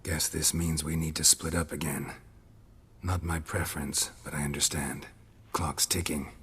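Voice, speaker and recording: Laconic warrior for a fantasy game: very low pitch, deadpan, gruff, clear ambient noise behind. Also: male voice, moderate pace, big-room sound. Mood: dry, deadpan. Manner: deadpan, terse. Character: gruff, laconic, dryly sardonic male monster-hunting warrior. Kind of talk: understated video game acting.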